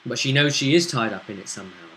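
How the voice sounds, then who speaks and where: A man's voice: mid-range voice, lively intonation, fast, fairly bright tone, a little hiss or hum, low-quality recording. A man hosting as game master, chatting casually as he recaps a tabletop roleplay campaign. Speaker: game master and show host.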